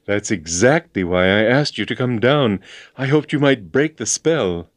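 A man's voice: mid-range voice, animated, measured pace, no echo, lightly acted. A man playing a fretful young male bachelor writer in a storybook reading, earnest and easily alarmed.

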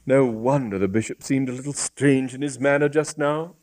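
A man's voice: mid-range voice, moderate pace, a little mellow, voice pushed up, natural voice. A man voicing an earnest, fussy young man with an emphatic, wordy manner in a comic story. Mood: horrified, grave.

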